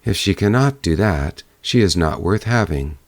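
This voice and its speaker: Man reading as a deep-voiced, resolute young prince. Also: animated, moderate pace, no echo.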